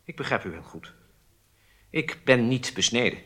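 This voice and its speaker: An actor playing a frightened, compliant captured German spy in a radio drama, halting and submissive. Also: male voice, mid-range voice, lively intonation, pushed and bright, breathy edge. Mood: defensive. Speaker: prisoner under interrogation.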